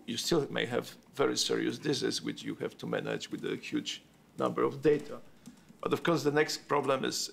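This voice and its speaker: Gravelly-voiced man speaking haltingly on a panel. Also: mid-range voice, fairly bright tone. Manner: halting, many restarts. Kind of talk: panel discussion remarks.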